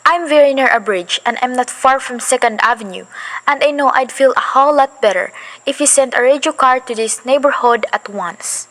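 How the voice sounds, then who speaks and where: A woman's voice: high-pitched, moderate pace, fairly bright tone, low-quality recording. A girl playing a fretful bedridden woman. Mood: insistent. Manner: fretful, insistent, rising to panic. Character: anxious bedridden wealthy woman, nervous and demanding. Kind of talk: school radio play acting.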